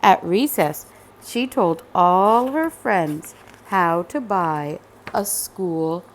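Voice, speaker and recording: A woman reading a children's story aloud with expressive, emphatic, dramatic narration. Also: on the low side, very slow, poor audio. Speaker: storybook reader.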